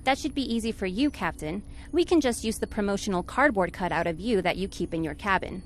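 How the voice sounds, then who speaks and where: An actress playing a sensible, sardonic spaceship crew member with a dry, exasperated manner, in a 1950s-style space radio serial. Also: female voice, mid-range voice, animated, brisk, lightly acted.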